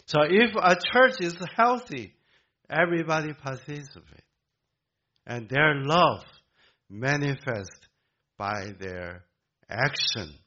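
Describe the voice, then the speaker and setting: A man born in Korea, preaching a sermon to a congregation in an emphatic way, with halting restarts. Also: fairly high pitch, lively intonation, pushed and bright, muffled recording. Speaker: pastor.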